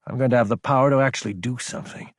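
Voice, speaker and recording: A man's voice: mid-range voice, rapid-fire, dry recording, clean studio sound, pitched above the performer's own voice, lightly acted. A man voicing an intense, anguished young military officer in an audiobook, insubordinate and emphatic. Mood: frustrated, helpless. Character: young male military officer, fierce, anguished, self-doubting. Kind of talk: audiobook character acting.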